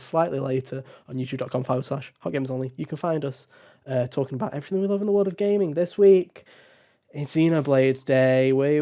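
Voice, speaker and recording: A man with a raspy, slightly hoarse voice hosts a casual gaming podcast, joking and rambling. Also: medium pitch, mellow. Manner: joking, self-mocking, rambling. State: hoarse, recovering from covid. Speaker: podcast host.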